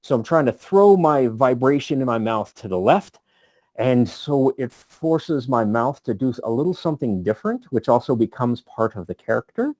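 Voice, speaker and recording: A man's voice: medium pitch, expressive, moderate pace, a little mellow, no echo. A man giving a casual instructional talk as a tutor, thinking aloud as he explains. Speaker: voice tutor for tabletop gamers.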